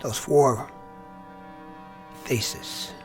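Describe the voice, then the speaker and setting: Troubled army officer, medium-pitched, halting intense delivery, weary, radio drama. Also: male voice, steady tone. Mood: haunted. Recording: radio drama with music underneath.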